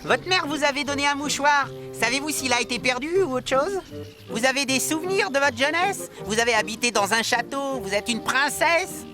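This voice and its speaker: A woman's voice: sits high, normal speed, over music, close to an everyday voice. A woman voicing a curious, plucky young animal adventurer in a French cartoon dub. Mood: eager, curious.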